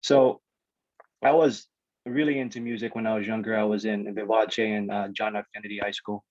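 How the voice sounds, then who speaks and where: A young man from the Philippines, raised on Guam, whose first languages are Ilocano and Tagalog, giving a relaxed, chatty alumni talk over a video call with lots of fillers and restarts. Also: on the low side, normal speed, no room sound. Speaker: alumni guest speaker. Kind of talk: casual presentation on a video call. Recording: dry, slightly dull call audio.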